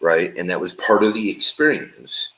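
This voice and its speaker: A man's voice: deep voice, lively intonation, normal speed, sharp tone, low-quality recording. A man hosting a casual interview, supportive and prompting his guest, often checking with "right?". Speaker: podcast host. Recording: faint background noise.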